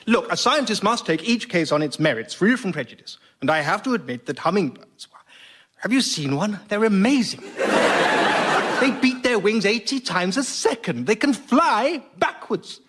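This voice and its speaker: An actor playing an earnest, precise older British biology professor, defending himself in a radio comedy interview. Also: male voice, high voice, very animated, normal speed, heavy background noise. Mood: defensive, smitten. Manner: earnest, precise, hedging academic. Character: earnest, defensive biology professor besotted with his baby granddaughter. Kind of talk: radio sketch comedy played as a formal interview.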